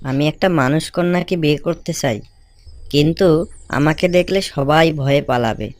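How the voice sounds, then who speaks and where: A man's voice: on the high side, moderate pace, no room sound, close to an everyday voice. A man playing a polite, earnest cartoon snake, speaking in a courteous, entreating way. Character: polite, earnest talking snake seeking a bride.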